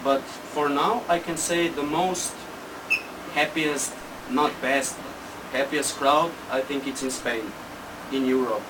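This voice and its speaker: A man's voice: medium pitch, fairly even intonation. Distant, noisy recording of young Slovenian man. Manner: halting, simple non-native English. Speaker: interview guest, techno DJ and producer. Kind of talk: casual interview answers. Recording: distant mic, loud background hum.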